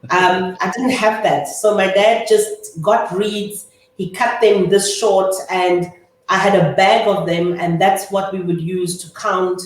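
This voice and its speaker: A woman in her thirties from South Africa's Eastern Cape, Afrikaans her first language, answering interview questions in a measured way with many pauses. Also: mid-range voice, steady tone. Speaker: guest, chartered accountant. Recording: echoey room, distant mic.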